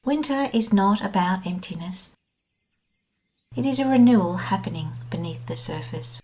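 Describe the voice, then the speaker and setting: A woman guiding a scripted meditation in a gentle, soothing, unhurried manner. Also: mid-range voice, animated, slight background noise. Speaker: meditation guide. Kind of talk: scripted guided meditation narration.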